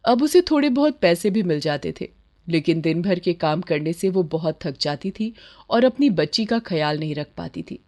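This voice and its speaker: A woman's voice: on the low side, a little mellow. A woman narrating a scripted moral bedtime story as a storyteller.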